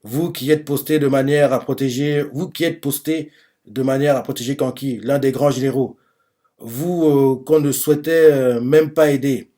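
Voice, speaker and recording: A man's voice: mid-range voice, fairly even intonation, normal speed, mellow, natural voice. A man voicing an angry warrior commander from a manga, bluntly scolding ungrateful soldiers in an indignant speech.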